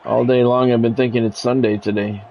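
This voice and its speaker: An American man doing sports play-by-play for a video game stream, mixing game calls with relaxed, casual chat to viewers. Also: mid-range voice, brisk. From United States. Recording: dull lo-fi audio with faint noise.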